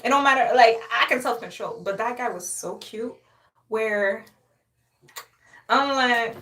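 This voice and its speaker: A woman's voice: a little high, measured pace, a bit bright. A young woman from Haiti chatting casually on a live stream, sassy, boastful and playful, often laughing. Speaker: livestreamer.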